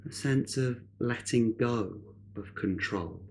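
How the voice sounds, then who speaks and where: A man leading a guided meditation in a measured, unhurried way, leaving long pauses between phrases. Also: on the low side, gravelly, darkish tone, a little hiss or hum.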